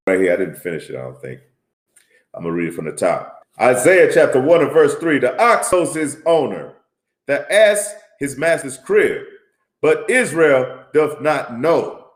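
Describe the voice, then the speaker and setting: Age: adult. Sex: man. Pitch: very low. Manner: tags lines with "man", reads scripture raised and slow. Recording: echoey room. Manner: reads scripture aloud in a raised, louder, slower voice. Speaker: religious teacher. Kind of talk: informal Bible teaching and commentary.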